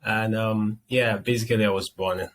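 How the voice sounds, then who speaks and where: A Nigerian man speaks as a guest in a casual interview, in a fairly low voice. He explains things as he goes, with faint background noise around him. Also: fairly even intonation, normal speed.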